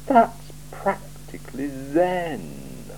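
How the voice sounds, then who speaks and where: A man voicing a cool, sardonic male city ruler in an audiobook, speaking in a slow, measured, dry and probing way. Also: mid-range voice, wildly swooping pitch, a little mellow, slight background noise, pitched above the performer's own voice, exaggerated voice, rough recording. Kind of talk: audiobook character voice.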